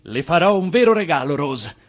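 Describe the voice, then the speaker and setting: Husky charming schemer in a radio play, ardent suitor, voice swoops all over. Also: male voice, on the high side, very animated, moderate pace. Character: Charles Ponzi, charming scheming Italian immigrant, ardent suitor. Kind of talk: theatrical radio drama acting.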